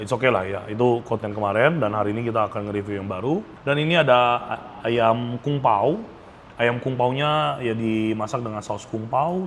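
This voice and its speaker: A man gives a casual, enthusiastic food review to camera, often stretching words for emphasis. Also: medium pitch. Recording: noticeable background ambience noise.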